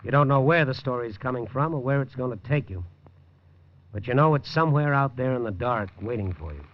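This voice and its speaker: An actor playing a world-weary newspaper reporter who narrates his own story in a hard-boiled, low-key and wry way, in 1950s radio drama style. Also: expressive, brisk, close to an everyday voice. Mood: reflective. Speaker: first-person narrator and lead. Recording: dull lo-fi 1950s radio recording.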